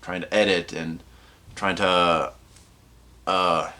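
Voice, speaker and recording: A man hosting a casual movie collection vlog, rambling and halting as he interrupts himself, slightly sniffly from a cold. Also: low voice, steady tone, bright, forceful tone. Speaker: host of a movie collection video channel.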